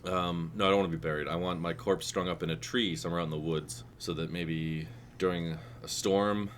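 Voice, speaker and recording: Stuffy-nosed guy cohosting a podcast, kinda low voice, deadpan jokes, lots of laughing. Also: a little fast, a little hiss or hum. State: nasal, getting over a cold. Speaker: podcast co-host. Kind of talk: casual chat.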